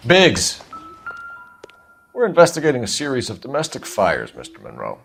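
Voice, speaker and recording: An actor playing an earnest, stern young police detective in a 1940s crime game, formal and pressing. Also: male voice, mid-range voice, huge pitch swings, fast, vocal fry, soft background music. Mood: firm. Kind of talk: dramatic video game acting.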